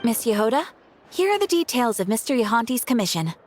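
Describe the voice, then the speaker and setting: A woman's voice: on the high side, very animated, quick pace, a little hiss or hum. An actress playing a courteous, formal female clerk in a video game. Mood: polite. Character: polite, soft-mannered female commission clerk. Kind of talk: video game character acting.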